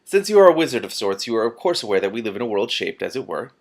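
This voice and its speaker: A man's voice: fairly low pitch, big pitch swings, quick pace. A man reading a fantasy novel aloud expressively, breaking off for casual reactions.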